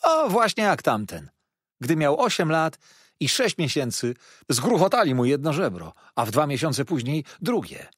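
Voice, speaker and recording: Storybook role: sly jovial servant, acted by a man, talkative and dramatic, very animated delivery with a rough edge. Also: fairly high pitch, normal speed, dry recording, studio quality, pitched above the performer's own voice, full character voice. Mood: mock-sorrowful. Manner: chatty, exclaiming, theatrically dramatic. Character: sly, jovial manservant with a hearty appetite. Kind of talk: dramatised audiobook reading.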